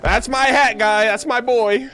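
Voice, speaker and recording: A woman streamer giving casual, excitable, slangy reaction commentary while playing a game. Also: fairly high pitch, unhurried. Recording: faint game music behind the voice.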